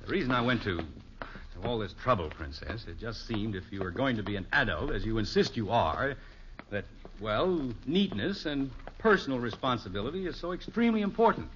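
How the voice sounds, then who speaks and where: A man's voice: medium pitch, very animated, fairly quick, fairly bright tone. An actor playing a flustered, wordy, well-meaning middle-aged suburban father in a 1950s radio sitcom, with an American accent and a hesitant, mock-formal manner. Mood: exasperated, preachy. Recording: muffled, noisy old radio recording.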